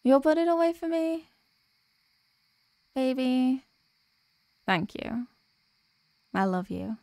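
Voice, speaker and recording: Slow, sweet, sleepy girlfriend played by a woman. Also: high voice, lively intonation, darkish tone, dry recording, exaggerated voice. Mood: sleepy, giving in. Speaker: audio roleplay performer. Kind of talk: ASMR girlfriend roleplay acting.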